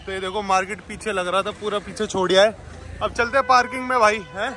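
A man's voice: high-pitched, fairly even intonation, fast, bright, forceful tone. A young man vlogging casually, chatting and haggling to camera as he shops a busy night market. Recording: crowd noise of a street market.

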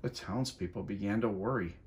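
A middle-aged or older man reading a children's story aloud in an expressive, animated storytelling way. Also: fairly low pitch, fairly quick. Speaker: school superintendent reading a storybook. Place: indoors at home, seated area by a window.

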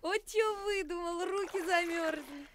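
An actress playing a brisk, guileless young village laundress in a radio play, teasing and chiding in colloquial rural speech. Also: female voice, extremely high pitch, fairly bright tone, slight background noise. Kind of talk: Soviet radio play acting.

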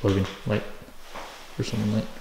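Hushed gravelly man exploring in the dark. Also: fairly low pitch, little pitch movement, moderate pace, background noise. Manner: hushed, easily impressed, talks to viewers. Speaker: urban explorer filming. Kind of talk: casual walk-through chat. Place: dark abandoned school building, indoors.

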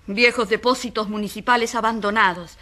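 A woman's voice: medium pitch, steady tone, brisk, pushed and bright, lightly acted. An actress in a radio drama playing a passionate, indignant woman who defends the poor, speaking emphatically in repeated, building lists. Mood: bitter, indignant.

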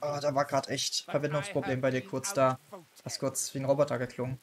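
A man's voice: mid-range voice, little pitch movement, fairly quick, a crowd in the background. A German man hosting a gaming video, chatting casually and laughing often while he plays. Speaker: gaming channel host. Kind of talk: casual gameplay chat.

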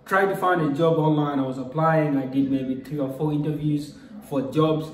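A man's voice: on the high side, fairly even intonation, moderate pace, recorded from a distance. A young man from South Sudan telling a personal story to camera in a loose, rambling way, with frequent fillers. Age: young adult. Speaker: vlogger. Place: small room indoors. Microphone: camera at desk distance. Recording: echoey room, mic set back.

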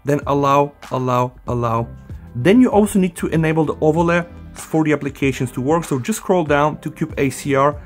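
A man hosting a casual hands-on phone tutorial, talking directly and informally to his viewers. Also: on the high side. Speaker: tech tutorial host.

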